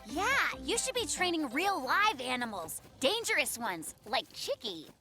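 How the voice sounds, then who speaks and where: A woman's voice: high voice, sing-song, a little slow, bright, forceful tone, soft background music, exaggerated voice. A woman voicing an earnest, mild young male bear cub in a children's cartoon, polite and a little hesitant. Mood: helpful. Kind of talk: animated children's cartoon acting.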